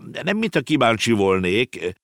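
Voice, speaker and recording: A man voicing a grumpy, blustering uncle in a children's storybook, irritable and quick to bluster. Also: mid-range voice, big pitch swings, vocal fry, no room sound, studio quality, voice pushed up. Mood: huffy.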